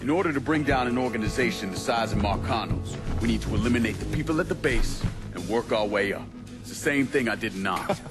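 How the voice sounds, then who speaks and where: An actor playing a hardened veteran turned crime boss in a video game, with blunt, profane authority. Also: male voice, mid-range voice, expressive, fast, natural voice. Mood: firm, strategic. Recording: music behind the dialogue.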